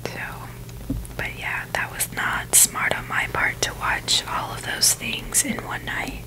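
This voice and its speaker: Slow, breathy whispering woman. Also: low-quality recording. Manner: hesitant, informal, frequent "like" and "you know". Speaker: ASMR video creator. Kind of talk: ASMR, rambling personal chat.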